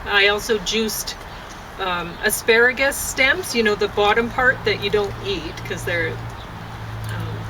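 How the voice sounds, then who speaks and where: A woman chatting casually and haltingly, thinking aloud as she goes and often breaking off to rephrase. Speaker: vlogger and amateur poet. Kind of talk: casual rambling chat to camera. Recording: noisy, distant mic, traffic-like rumble.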